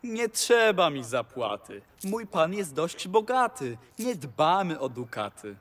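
A man playing a sly, boastful talking tomcat servant in a rhymed audio fairy tale, with theatrical, deliberate delivery. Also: a little high, very animated. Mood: proud, courtly.

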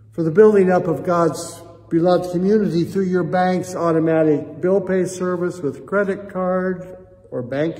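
An older American man, a pastor, speaking solemnly and earnestly in measured phrases as he leads prayer and appeals to a congregation. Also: a little high, a little mellow. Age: 60s or older. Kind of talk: church prayer and offering appeal. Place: church sanctuary, indoors. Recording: reverberant church hall echo.

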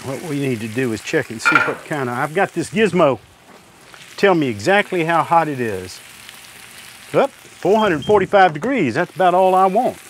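A man's voice: on the high side, expressive, normal speed, background noise. An older man hosting a cooking demonstration, talking in an easygoing, homespun way while he works at a griddle on an outdoor deck. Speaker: cooking show host. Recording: outdoor recording with loud griddle sizzle.

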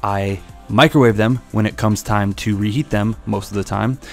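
A man's voice: fairly low pitch, normal speed, vocal fry. Casual man narrating a cooking vlog. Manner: relaxed, casual explanations with fillers. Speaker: fitness channel host. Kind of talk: meal prep voice-over. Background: faint background music.

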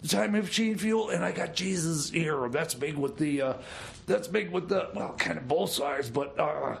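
A man's voice: fairly high pitch, normal speed, creaky. A man playing a jittery, scheming inmate in a comedy radio play, stammering and rambling in fragments. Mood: flustered, insistent. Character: jittery scheming male inmate cellmate making shady deals. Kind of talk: improvised comedy radio theater.

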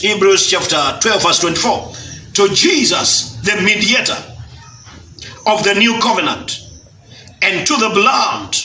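A middle-aged Kenyan man preaching a shouted Easter sermon, stretching his key words as he builds. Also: high voice, distant mic, slight background noise. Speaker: pastor. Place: church stage, indoors. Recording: echoey room from afar, music behind.

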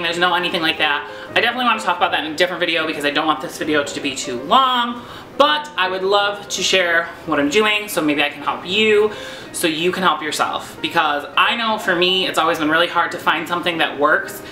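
Young male vlogger, chatty and rambling, bright light voice, echoey kitchen, faint music behind. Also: sits high, a little fast. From New York, USA. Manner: chatty, rambling with many ums, self-deprecating. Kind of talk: casual home cooking vlog. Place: home kitchen, indoors. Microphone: fixed camera at close range.

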